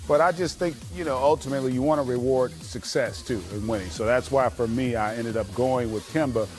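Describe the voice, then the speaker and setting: A man's voice: mid-range voice, a little fast, music in the background. A man working through his reasoning as a basketball analyst in a conversational studio debate.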